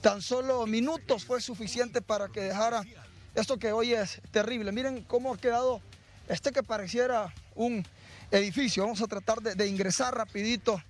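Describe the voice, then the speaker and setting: A man's voice: high-pitched, fairly even intonation, normal speed. A man with a Honduran accent reporting live on TV news from the scene of a fire, outdoors, on a handheld mic, urgent and guiding the camera. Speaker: field reporter. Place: outdoors at burned homes.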